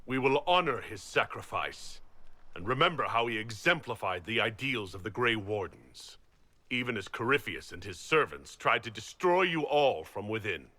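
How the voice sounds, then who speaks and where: Fantasy game hero leader, lowish forceful voice, firm n formal. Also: male voice, expressive, moderate pace. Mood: resolute. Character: commanding, resolute young leader of a holy war effort. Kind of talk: fantasy video game dialogue.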